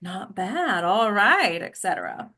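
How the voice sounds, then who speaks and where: A woman's voice: medium pitch, wildly swooping pitch, a little slow, pushed and bright. Female narrator for a table read, delivering screenplay directions in a mid-range voice. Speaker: stage directions reader.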